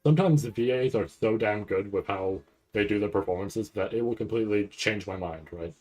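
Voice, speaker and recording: Anxious, rambling man, lowish voice. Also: a little mellow, poor audio. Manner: rambling, halting, repeats words; anxious, nervous anticipation. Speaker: anime commentator reacting to a livestream event. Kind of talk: casual live reaction commentary.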